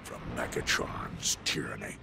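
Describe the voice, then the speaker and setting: A man's voice: measured pace, close to an everyday voice. A man playing a noble, stoic robot commander in a video game, solemn, formal and authoritative. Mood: solemn, resolute. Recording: clearly noisy game-scene background.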